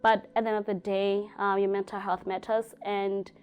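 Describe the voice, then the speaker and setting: A woman, a doctoral student in counseling, telling her experience in an interview in a lively storytelling manner. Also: mid-range voice, moderate pace, dull audio. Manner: animated storytelling, laughs between words. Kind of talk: interview testimony.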